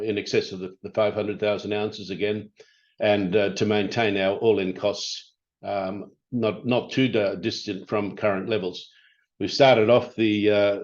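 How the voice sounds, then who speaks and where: A man presenting company results as an executive on an investor call, speaking in a halting, informal, hesitant way. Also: fairly low pitch. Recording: dull, band-limited conference call audio.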